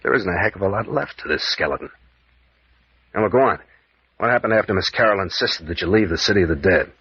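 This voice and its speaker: An American actor playing a bold, sardonic adventurer captain in an old-time radio serial, with needling, probing delivery. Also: male voice, deep voice, lively intonation, fast, breathy edge, heavily acted. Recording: muffled, echoey vintage radio recording.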